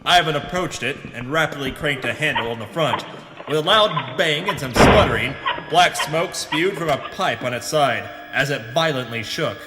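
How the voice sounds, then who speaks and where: A man's voice: a little high, heavy background noise, natural voice. A man playing a pompous hard-boiled adventurer, narrating in the melodramatic style of a pulp radio serial. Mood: grave, suspenseful. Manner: melodramatic, grave, deliberate hard-boiled delivery. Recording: reverberant voice over background music.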